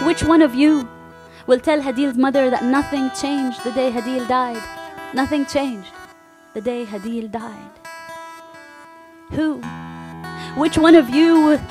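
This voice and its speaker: A woman with an Arabic accent performing spoken word poetry on a live stage, rhythmic and repetitive, building to fierce intensity. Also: sits high. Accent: Arabic-accented English. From Palestinian family, refugee camp.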